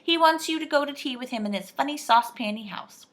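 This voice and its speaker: A woman voicing a friendly, practical moon-faced fellow from a children's storybook, brisk and full of instructions. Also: sits high, a bit bright, voice pushed up.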